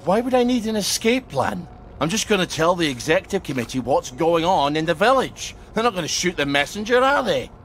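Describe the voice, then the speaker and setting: An actor playing a sardonic, stubborn, grumbling outcast with a British accent in a video game. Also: male voice, sits high, sing-song, fairly quick, fairly bright tone, slight background noise, exaggerated voice. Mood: defiant. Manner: grumbling, sarcastic. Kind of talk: video game character acting.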